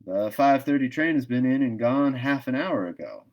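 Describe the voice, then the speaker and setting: A man voicing a brisk, talkative small-town station master in a storybook, with a careless, wry manner. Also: medium pitch, animated, lo-fi sound, pitched above the performer's own voice, natural voice. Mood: brisk, amused. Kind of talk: storybook read-aloud.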